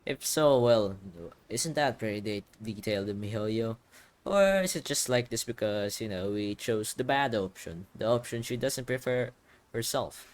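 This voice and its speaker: A man's voice: mid-range voice, expressive, moderate pace. A young man giving casual, slangy gameplay commentary as the host of a playthrough. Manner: slangy, offhand. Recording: game music behind.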